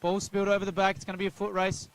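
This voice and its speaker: A man's voice: high voice, rapid-fire, dry recording. An Australian man giving live play-by-play commentary on a local football match. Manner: steady, even play calling.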